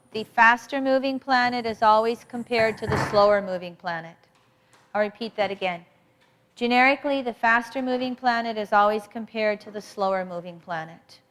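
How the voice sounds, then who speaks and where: Woman astrology teacher, loud and energetic, slightly high voice, lecturing a workshop. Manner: energetic, emphatic, repeats for emphasis.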